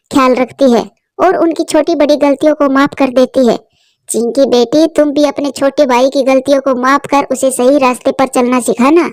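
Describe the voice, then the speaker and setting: A woman playing a loving mother bird from a cartoon story. She speaks tenderly, with a fairly high, dry voice. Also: a little mellow, no room sound, lightly acted. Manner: tender and instructive.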